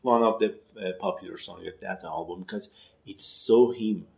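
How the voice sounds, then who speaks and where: Hesitant low-voiced man in his thirties. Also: vocal fry, a little mellow, echoey room. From Iran. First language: Persian. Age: 30s. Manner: halting non-native English with many restarts. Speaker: musician guest. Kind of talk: casual interview chat.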